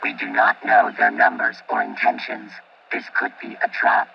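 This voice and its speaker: A man's voice: mid-range voice, very animated, normal speed, gravelly, dull audio, a little hiss or hum. A man playing a stiff, protective combat robot in an audio drama, speaking formally and literally through a robotic filter. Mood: cautious. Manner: formal, clipped, literal phrasing.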